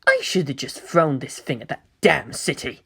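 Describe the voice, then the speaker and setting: Woman as a brooding, hushed young male antagonist. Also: low voice, big pitch swings, normal speed, vocal fry, husky, full character voice. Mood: scornful, hushed. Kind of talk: dramatic audiobook character reading.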